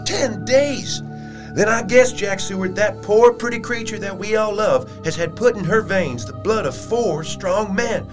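An actor playing an earnest, blunt young suitor with a folksy, emphatic way of talking in a gothic audio drama. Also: male voice, sits high, measured pace. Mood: shocked. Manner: plain-spoken, folksy, emphatic. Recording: music playing behind. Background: music.

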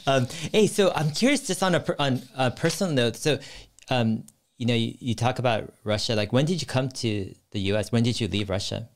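A man's voice: mid-range voice, animated, fairly quick. A man hosting a casual interview, curious and affirming as he asks his guest questions. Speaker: host.

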